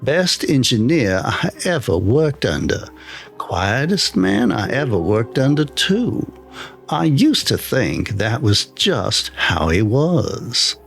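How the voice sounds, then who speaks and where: A man's voice: mid-range voice, big pitch swings, slow, vocal fry, soft background music, full character voice. A man voicing a plain-spoken, wistful retired engineer of eighty-one in an audio horror story, in clipped sentences. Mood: fond, reflective.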